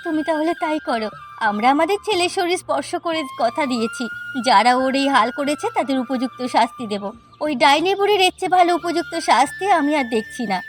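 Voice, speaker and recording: A woman's voice: high-pitched, animated, quick pace, over music. A woman playing a bitter, grieving village mother in a cartoon fairy tale, with melodramatic, wailing delivery. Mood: bitter resolve.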